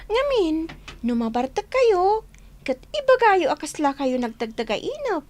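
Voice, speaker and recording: Squeaky nosy little kid, ilocano accent, super high, bouncy pitch, radio comedy. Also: female voice, sing-song, a little slow, darkish tone, full character voice. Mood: teasing, curious. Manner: pert, questioning. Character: cheeky, inquisitive young child teasing parents.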